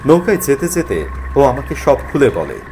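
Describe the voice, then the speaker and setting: A man tells a ghost story as scripted, dramatic narration. His mid-range voice moves in very lively contours. There is faint noise in the background. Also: mid-range voice, normal speed.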